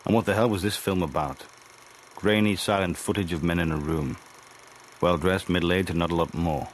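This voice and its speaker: An actor playing a wry, insubordinate working-class spy in a radio drama, blunt and sardonic in manner. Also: male voice, low voice, fairly even intonation, normal speed, lightly acted. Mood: dry narration. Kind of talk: radio drama acting with first-person narration. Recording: broadcast radio drama with faint noise.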